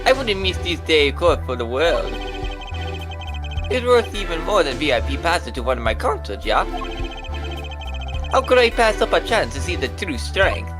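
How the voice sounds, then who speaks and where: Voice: medium pitch, big pitch swings, moderate pace, pauses often, close to an everyday voice. Someone playing a cocky young male rock-star prosecutor, music blaring. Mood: smug, playful. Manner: showy, taunting, slangy, peppered with German words. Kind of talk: amateur video game voice acting. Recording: loud music behind.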